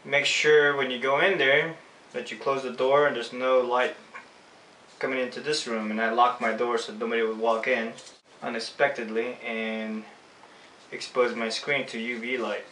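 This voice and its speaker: A man with a Filipino accent and a bright, forward voice gives a casual hands-on demonstration. He is picked up from a distance in an echoey room. He explains each step in an unscripted, informal way. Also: mid-range voice, level tone, measured pace. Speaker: screen printing instructor. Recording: echoey room, distant mic, faint noise.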